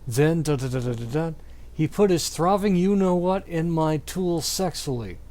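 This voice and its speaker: A man's voice: a little high, expressive, normal speed, a little mellow. A man giving an exaggerated dramatic reading of fan fiction, prone to stumbles and breaking up. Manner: theatrical, exaggerated delivery. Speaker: amateur reader.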